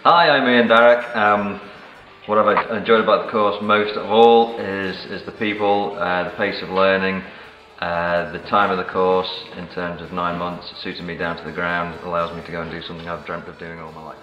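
A man from Scotland giving a measured to-camera introduction with pauses. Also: low-pitched, fairly even intonation, faint music behind. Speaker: furniture student. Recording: echoey, slightly dull room.

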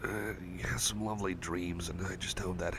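A man voicing a gentle, caring male cyborg friend in an ASMR roleplay, talking softly and hesitantly with many fillers, sometimes dropping to a whisper. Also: low-pitched, fairly even intonation, normal speed, background noise, lightly acted. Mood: soothing. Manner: soft, hesitant and rambling, with many fillers and restarts; drops to soft whispers at times. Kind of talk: ASMR roleplay, personal attention.

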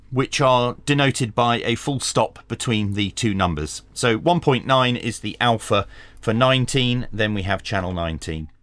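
A man's voice: medium pitch, fairly bright tone, no echo. A middle-aged man hosting a hands-on product review and tutorial, explaining things step by step with dry asides. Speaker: radio review channel host. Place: small indoor home workshop.

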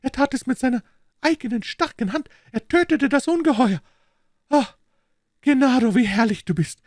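A passionate young Italian woman from a storybook, excitable and devoted, her exclamations tumbling out in a high falsetto as a male reader puts her on. Her lines leap up and down with feeling, recorded close and dry. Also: moderate pace, no echo. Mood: adoring. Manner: breathless, exclaiming, rising falsetto.

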